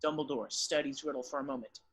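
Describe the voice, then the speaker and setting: A man's voice: medium pitch, steady tone, fast, a bit bright, husky. A man reading screenplay stage directions as narrator in an amateur table read.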